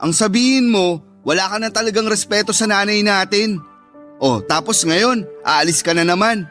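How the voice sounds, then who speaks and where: Casting: scolding Filipino younger brother, high-pitched, emphatic, wounded sense of duty, radio drama. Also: male voice, moderate pace, a bit bright, soft background music. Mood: indignant. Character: indignant, reproachful younger brother, dutiful young adult son.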